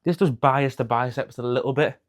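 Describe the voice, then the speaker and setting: A man's voice: medium pitch, fairly quick. A young man, chatting casually as a fitness vlogger and rambling with frequent self-corrections, talking into a clip-on mic. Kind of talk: casual vlog chat. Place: outdoors by a busy road; cold garage gym, echoey.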